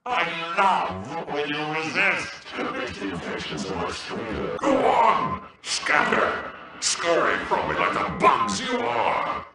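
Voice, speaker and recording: A sadistic, infected video game villain. His gravelly voice booms in heavy echo and swings wildly in pitch. He draws out his taunts with theatrical menace, sounding like a processed, inhuman program. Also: wildly swooping pitch, takes it slowly, distant mic, loud music behind the voice, heavily acted. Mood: sadistic taunting.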